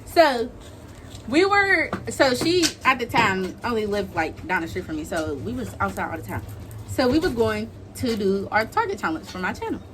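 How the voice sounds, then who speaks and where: A young American woman hosting a casual storytime vlog, talking in an animated, slangy, giggly way. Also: medium pitch, moderate pace, background noise. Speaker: vlog host.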